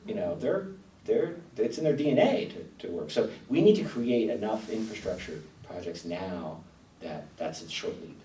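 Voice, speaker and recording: A man argues his policy points earnestly and with emphasis. His voice is low and mellow. He is heard from off-mic, with room echo and faint noise behind him. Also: expressive, normal speed, distant mic. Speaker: panel guest. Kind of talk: policy discussion. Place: TV studio.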